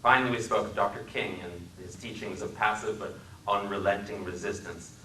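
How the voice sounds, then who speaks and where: A man from Wisconsin giving a dramatic reading in a library, measured and dryly wry in his storytelling. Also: lowish voice, steady tone, pushed and bright, reverberant, recorded from a distance, a little hiss or hum, poor audio. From Wisconsin, USA. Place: public library room.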